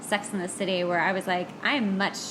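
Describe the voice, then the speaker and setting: A woman's voice: mid-range voice, level tone, brisk. A woman hosting a podcast in a casual chat, talking candidly and poking fun at herself. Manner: candid, self-deprecating. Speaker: podcast host. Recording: clear background noise.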